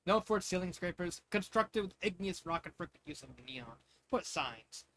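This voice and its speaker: A man's voice: high-pitched, expressive, moderate pace, sharp tone, slight background noise. A man narrating fan fiction in an informal, amateur way, stumbling over words with casual asides.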